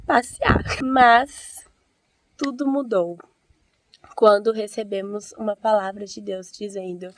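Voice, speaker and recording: A hoarse woman with a Brazilian accent who has a cold, telling her story in an earnest, chatty vlog as a church-minded host. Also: mid-range voice, fairly even intonation, slow pace, no echo. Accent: Brazilian Portuguese. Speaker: evangelical video host. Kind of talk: casual testimony vlog.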